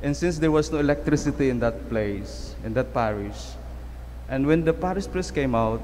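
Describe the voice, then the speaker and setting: Filipino man, priest at a church lectern, chatty homily storyteller, mid pitch, long church reverb, faint room hum. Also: expressive, moderate pace, a little mellow. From Philippines. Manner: conversational, code-switching, repeats words. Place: church sanctuary. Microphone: stand mic at lectern.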